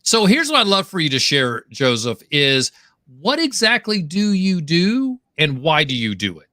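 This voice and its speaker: A man hosts a live-streamed business interview. He sounds effusive and upbeat, and his bright, slightly raised voice comes through dry and close to the mic. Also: fairly high pitch, wildly swooping pitch, moderate pace, no echo. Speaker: podcast host.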